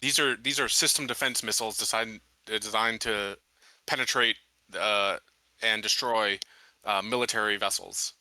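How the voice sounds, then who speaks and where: A man's voice: lowish voice, fairly even intonation, normal speed, pushed and bright, dry recording. A man playing a tabletop game, explaining things casually in character.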